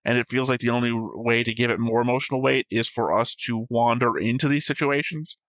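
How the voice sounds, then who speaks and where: A man's voice: medium pitch, fairly even intonation, fairly quick, a bit bright, no echo. A man talking casually as a reaction show host, rambling and explaining his thoughts at length. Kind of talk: casual chat, discussing an anime episode.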